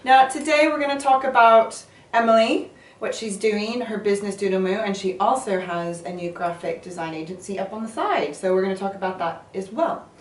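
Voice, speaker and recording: A woman's voice: mid-range voice, fairly quick, few pauses. Woman host, friendly interviewer manner, distant mic, roomy echo. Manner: upbeat and encouraging, with frequent short affirmations. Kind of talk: casual interview. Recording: echoey room, mic at a distance, faint noise.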